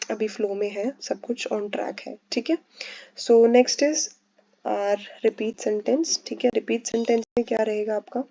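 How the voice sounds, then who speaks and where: A woman's voice: mid-range voice, mellow, dry recording. A young woman tutoring for an English test, explaining patiently in mixed Hindi and English with frequent check-ins to her students. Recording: dull lo-fi sound.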